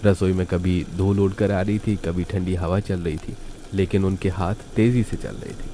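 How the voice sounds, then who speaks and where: Male cartoon narrator. Deep and mellow, reads scripted family tale over light noise. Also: steady tone, moderate pace.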